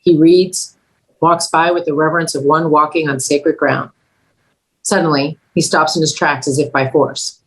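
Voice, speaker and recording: A woman narrates a screenplay at a table read. Her voice sits low to middling in pitch, and she reads the scene directions as scripted narration. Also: steady tone, moderate pace, poor audio.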